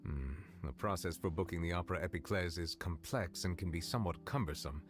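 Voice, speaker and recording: Actor as a deep-voiced, courteous formal official. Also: male voice, lightly acted. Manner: formal, measured, polite. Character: formal, courteous, reserved male official of high rank. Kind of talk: video game voice acting. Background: music.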